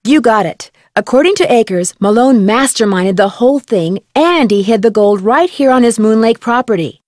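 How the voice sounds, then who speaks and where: A woman playing a composed young female amateur detective in a video game, explaining things in a measured way. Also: fairly high pitch, lively intonation, a little mellow, no echo, clean studio sound, natural voice. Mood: composed, explanatory. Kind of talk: scripted video game voice acting.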